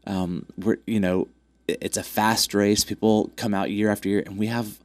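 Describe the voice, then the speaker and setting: Man, congested, low-pitched, dry close sound, upbeat. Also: steady tone, normal speed, darkish tone, dry recording. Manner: upbeat, promotional, many fillers. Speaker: track club representative. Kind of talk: casual interview chat.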